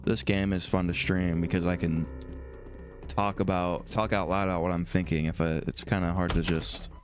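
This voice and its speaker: Deep-voiced man, slightly hoarse, gaming. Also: little pitch movement, normal speed, music in the background. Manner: rambling, thinks out loud. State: slightly hoarse from a throat infection. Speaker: streamer playing a video game. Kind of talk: casual let's-play commentary, reading dialogue aloud.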